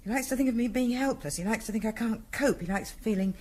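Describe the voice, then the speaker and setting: An actress playing a tense, suspicious wife in a 1970s radio drama, pointed and insistent, repeating herself. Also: female voice, a little high, fast, darkish tone, lightly acted. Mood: bitter, accusing.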